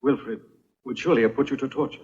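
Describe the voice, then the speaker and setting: An actor playing a dignified captive king and protective father in a dubbed historical film, speaking gravely and with measured care. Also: mid-range voice, a little mellow, natural voice. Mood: grave tenderness. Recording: dull dubbed film soundtrack with music.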